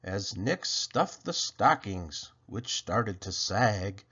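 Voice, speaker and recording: Guy reading a rhyming kids book out loud, really slow and theatrical, bit gravelly, slightly muffled audio. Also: fairly low pitch, expressive. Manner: theatrical, deliberate phrasing. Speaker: children's storybook reader. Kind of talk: expressive rhyming picture-book read-aloud.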